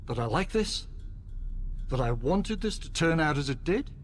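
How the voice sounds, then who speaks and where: A man's voice: fairly high pitch, big pitch swings, moderate pace. A man playing a bitter, sarcastic captive tied to a chair in a radio play, rambling and needling with rhetorical questions, with noise behind him. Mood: defensive.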